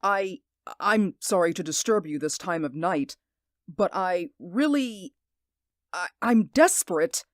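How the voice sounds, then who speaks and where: A woman's voice: on the low side, lively intonation, slow, dry recording, studio quality. A woman voicing Mister Ames, a nervous, sleepless man who stammers and pleads, in a radio drama. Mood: pleading. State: sleep-deprived, anxious. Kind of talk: hobby radio drama acting.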